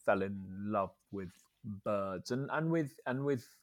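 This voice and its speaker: A young man from Scotland speaks as an interview guest, his voice sitting fairly low and recorded close and dry with no room around it. He talks thoughtfully and hesitantly, with frequent ums and restarts as he works through his answers. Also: lively intonation, slow, no echo. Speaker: guest, journalist and author. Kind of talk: relaxed interview talk.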